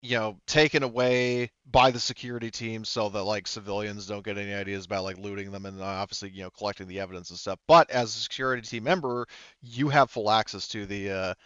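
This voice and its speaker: A man's voice: on the low side, fairly even intonation, fast, a bit bright, clear, resonant tone, no echo, highs cut off. A man running a tabletop game as game master, improvising the narration casually in a rambling, hesitant way, thinking aloud.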